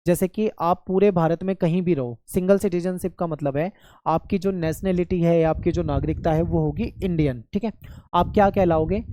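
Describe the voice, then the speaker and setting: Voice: mid-range voice, mellow, no echo. A teacher giving a patient classroom lecture on a textbook chapter, explaining step by step, checking in often and mixing English terms into the explanation. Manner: patient, repetitive explaining with frequent "okay" check-ins.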